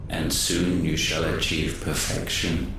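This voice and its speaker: A man playing an ancient godlike being in a video game, cold, imperious and solemn, with a deep echoing voice that looks down on humankind. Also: flat delivery, background noise, full character voice. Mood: commanding, ominous. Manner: solemn, measured, speaks of itself as we. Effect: heavy echoing reverb. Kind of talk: video game cutscene acting. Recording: heavy echo, distant, loud music.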